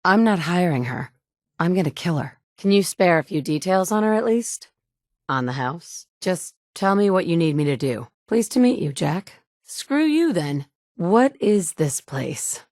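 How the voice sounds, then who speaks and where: A terse, sardonic adventurer. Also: female voice, on the low side, animated, a little slow, darkish tone, dry recording. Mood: cool. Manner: terse, dry, sardonic delivery. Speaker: player character. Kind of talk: video game dialogue.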